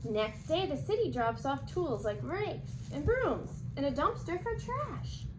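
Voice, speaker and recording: High voiced lady doing a kids read aloud, very up and down, expressive storyteller. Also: a little slow. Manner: animated, acting out the dialogue. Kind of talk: expressive children's picture book reading. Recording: echoey room with clear background noise.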